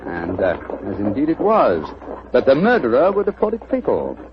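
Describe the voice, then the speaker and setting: A sharp gentleman detective, brisk and confident, his voice rising and falling with lively energy. The old radio recording is dull, with loud hiss behind him. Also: mid-range voice. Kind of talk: 1940s radio acting.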